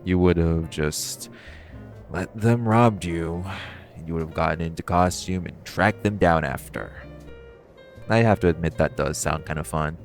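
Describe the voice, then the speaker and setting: A man's voice: deep voice, faint music behind. A man playing a flirty, cocky supervillain thief in an audio roleplay, chatting casually and teasingly to the listener. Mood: curious, needling. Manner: casual, teasing, conversational with playful pauses.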